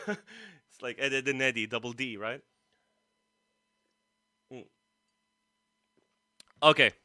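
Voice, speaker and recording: A man's voice: medium pitch, sing-song, slow pace, pauses often, bright, forceful tone, no echo, studio quality. A man hosting a casual guitar livestream, rambling to viewers and poking fun at himself. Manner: self-deprecating, rambling asides to viewers and himself. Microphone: streaming mic, poorly placed. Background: music playing.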